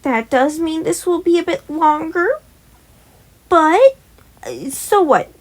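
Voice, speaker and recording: A woman's voice: very high-pitched, expressive, slow. A woman who hosts a fan-fiction audio channel, reading stories and talking to listeners. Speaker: podfic reader and channel host. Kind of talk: scripted story narration and casual asides to listeners.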